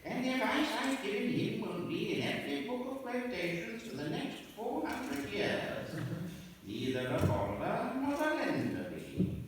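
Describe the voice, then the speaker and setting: A man's voice: high-pitched, very animated, moderate pace, far from the mic, a little hiss or hum. A man playing a pompous, long-winded old father in an amateur comic radio play, recorded in an echoey hall from afar. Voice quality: pompous, rambling.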